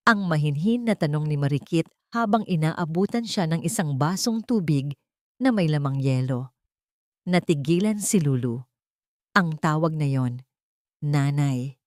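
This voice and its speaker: A woman narrates a dramatic audiobook story. Her voice sits fairly low, and the recording is dry and close, with little room sound. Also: a little mellow, dry recording.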